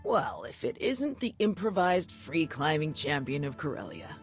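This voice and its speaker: An actress playing a tough, plain-spoken warrior comrade in a video game, casual and frank. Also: female voice, sits low, huge pitch swings, normal speed, soft background music. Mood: frank, sincere. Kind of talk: scripted video game acting.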